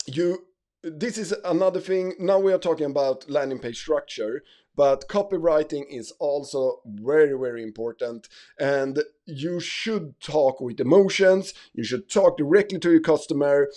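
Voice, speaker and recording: A man's voice: mid-range voice, a little mellow. A man, not an English speaker, hosting an ecommerce tutorial with many fillers and restarts. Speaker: ecommerce channel host. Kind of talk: screen-share tutorial.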